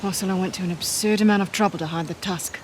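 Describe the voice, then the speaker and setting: An actress playing a blunt, guarded woman mercenary in a video game, terse and deadpan. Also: fairly low pitch, fast, slight background noise, close to an everyday voice. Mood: guarded, dry. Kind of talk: naturalistic video game acting.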